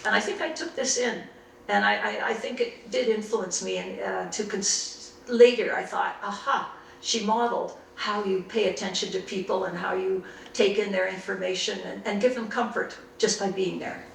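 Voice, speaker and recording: Older woman reminiscing, gravelly edges, recorded from across an echoey room. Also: medium pitch, fairly even intonation, distant mic, a little hiss or hum. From Canada. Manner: reflective, unhurried storytelling with frequent restarts. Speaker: interviewee. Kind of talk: oral history interview.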